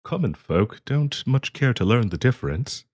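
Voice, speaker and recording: A man's voice: medium pitch, very animated, moderate pace, a little mellow. A man playing a polite, hesitant half-dragon diplomat in a fantasy roleplay audio, genteel and eager to please as he hosts the listener. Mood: patient explaining. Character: polite, flustered half-dragon diplomat hosting tea in his cave.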